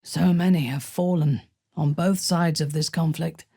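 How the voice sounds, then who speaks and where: A woman playing a ruthless, imperious female dark elf warrior in a video game, with commanding, disdainful and measured delivery. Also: low-pitched, steady tone, breathy edge, no room sound, studio quality, heavily acted. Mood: grave, proud. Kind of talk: video game character acting.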